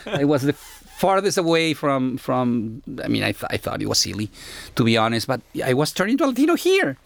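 A middle-aged man from Ecuador with an Ecuadorian Spanish accent, speaking reflectively as an interviewee in a halting, searching way. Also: medium pitch, very animated, vocal fry, no room sound. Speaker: interviewee, university academic.

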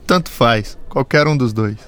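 A man reading his part in a scripted lesson dialogue, clearly and at a measured pace. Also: medium pitch, a bit bright. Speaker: dialogue reader.

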